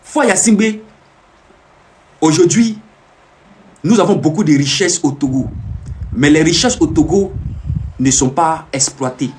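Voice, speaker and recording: A man's voice: on the high side, measured pace, long pauses, a little mellow, a little hiss or hum. A man giving an emphatic, repetitive, preaching monologue on a live stream, answering viewers' comments as he talks. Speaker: self-proclaimed peace ambassador streaming live.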